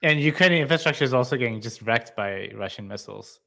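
A man's voice: medium pitch, animated, fast, creaky, pushed and bright. A man chatting casually as a show co-host, with a wry, sarcastic manner. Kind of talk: casual chat. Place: indoors, on a video call stream.

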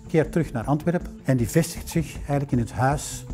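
A man in his fifties with a Flemish accent, a museum director recounting a collector's history in a documentary interview, unhurried and storytelling, with occasional "eh" fillers, on a lapel mic in a library room. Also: medium pitch, mellow, faint music behind.

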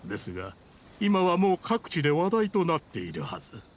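A man's voice: on the high side, huge pitch swings, normal speed. A man playing a scheming, easily riled official in a Japanese anime game, formal and pompous with a defensive, indignant manner. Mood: defiant, smug. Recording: game dialogue over ambient scene noise. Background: game scene ambience.

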